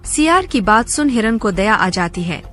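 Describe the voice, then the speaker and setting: A woman's voice: mid-range voice, sing-song, normal speed, a bit bright, soft background music. A woman narrator reading a scripted animal fable for children.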